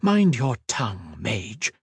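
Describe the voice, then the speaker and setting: A man's voice: lowish voice, huge pitch swings, breathy edge, no echo, clean studio sound, heavily acted. A man voicing a haughty, imperious queen. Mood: scornful. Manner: imperious, cutting, measured. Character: proud, wary woman queen, haughty and sharp-tongued.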